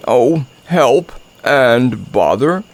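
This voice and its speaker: Slow, plaintive storybook bear. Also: male voice, mid-range voice, animated, heavily acted. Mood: bothered, resigned. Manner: slow, drawn-out, plaintive delivery. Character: slow, gloomy, muddled male toy bear. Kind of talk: children's storybook character voice.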